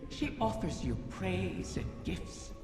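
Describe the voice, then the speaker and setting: Slow, echoing, cunning ancient dragon. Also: mid-range voice, very animated. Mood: probing, suspicious. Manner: slow, insinuating, theatrical rhetorical questioning. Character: Ahamkara, ancient cunning wish-dragon bargaining with a hero. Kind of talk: scripted video game character dialogue. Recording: long cavernous reverb, music underneath.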